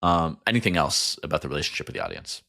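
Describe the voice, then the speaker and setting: Low-voiced male podcast host. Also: sing-song, fast, gravelly, bright, forceful tone. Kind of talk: interview questions.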